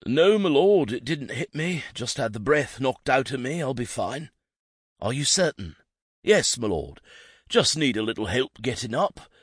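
A man's voice: mid-range voice, sing-song, unhurried, husky, no echo, clean studio sound, pitched above the performer's own voice. A man voicing a loyal male servant who is out of breath and deferential. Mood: shaken.

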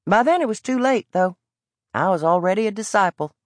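A woman's voice: mid-range voice, expressive, fairly quick, dry recording, pitched above the performer's own voice, lightly acted. A woman voicing a defiant, bookish young boy with a Southern accent and a blunt, stubborn way of talking. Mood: earnest.

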